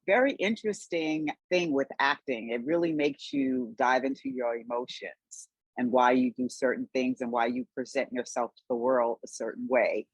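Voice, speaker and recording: Woman, low voice, husky, lively pitch, dry sound, reflective chat. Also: normal speed, dry recording, low-quality recording. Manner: reflective, chatty, laughs easily. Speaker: acting student guest. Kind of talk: casual video call introduction.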